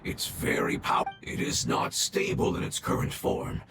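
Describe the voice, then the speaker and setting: A man voicing a weary, dying fungal creature in a video game, grave and gentle, with a breathy rasp and halting, solemn speech. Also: heavy background noise, lightly acted. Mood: grave, explanatory. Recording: loud music behind the voice. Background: loud game music.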